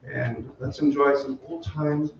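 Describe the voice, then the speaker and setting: A man's voice: medium pitch, flat delivery, unhurried, gravelly, heavy background noise. A middle-aged man giving a casual, off-the-cuff welcome speech as a community theater director. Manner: informal, off the cuff. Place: theater stage before an audience. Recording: very echoey hall, distant mic, muffled.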